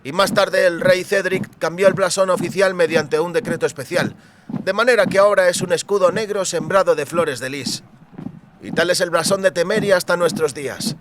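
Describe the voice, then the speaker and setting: A man's voice: fairly high pitch, background noise, voice pushed up, close to an everyday voice. A man voicing an earnest, bookish young man who explains things formally and pedantically in a fantasy audiobook.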